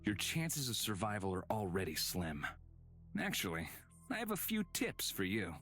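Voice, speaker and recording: A man voicing a cryptic, aloof stranger in a visual novel, mocking and measured with an ominous edge. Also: fairly low pitch, huge pitch swings, a bit bright, soft background music. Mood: ominous, taunting. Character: cryptic, taunting male stranger, shrewd and aloof.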